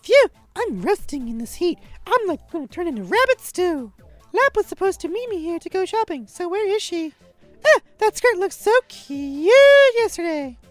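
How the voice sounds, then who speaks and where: A woman's voice: extremely high pitch, big pitch swings, normal speed, dry recording. A woman voicing a cheerful, ditzy young rabbit-eared schoolgirl in a visual novel, with a cutesy, girlish delivery. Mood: languid. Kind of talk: visual novel character dubbing. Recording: music behind the voice.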